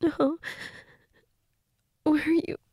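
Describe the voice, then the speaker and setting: A woman acting a grieving girlfriend in an intimate audio role-play, crying and fragile, halting and repeating herself, often dropping to a whisper. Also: high voice, level tone, a little mellow, no room sound, clean studio sound. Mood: tearful, drained. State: crying, sniffly, breathy from tears. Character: grieving girlfriend, tearful and fragile, insisting she's fine.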